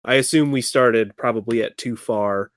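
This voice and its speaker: A man's voice: mid-range voice, moderate pace, clear, resonant tone, dry recording, studio quality. A man chatting casually as a player in a tabletop game, with a wry, jokey manner.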